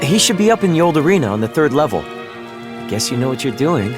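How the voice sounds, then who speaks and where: Lively young male fighter played by a man, music behind. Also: mid-range voice, a little fast, natural voice. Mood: downcast, hesitant. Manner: hesitant, informal, sheepish. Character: friendly humbled young male town fighter. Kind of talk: natural video game dialogue.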